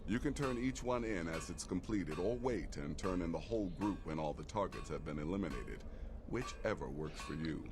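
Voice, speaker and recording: An actor playing a cocky male assassin guild leader in a video game, smooth and condescending, with measured delivery. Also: sits low, expressive, background noise. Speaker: game character assigning contracts.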